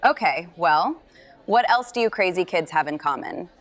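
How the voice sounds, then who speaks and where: An actress playing a teasing, affectionate middle-aged aunt in an audio drama, wry and playful, stretching words for emphasis. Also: female voice, lowish voice, lively intonation, normal speed. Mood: wry, amused. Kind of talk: naturalistic audio drama dialogue.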